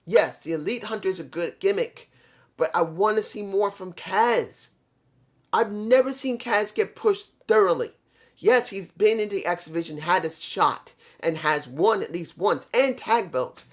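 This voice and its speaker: Man, fairly high voice, casual show reviewer, rambling, self-correcting, trips over names. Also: measured pace. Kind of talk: casual solo review talk.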